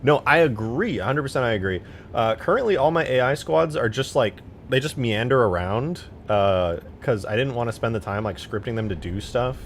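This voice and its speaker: A man's voice: lowish voice, a little hiss or hum. A man livestreaming as a game modder, chatting casually in a rambling, jokey way as he answers viewers. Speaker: live streamer and game modder.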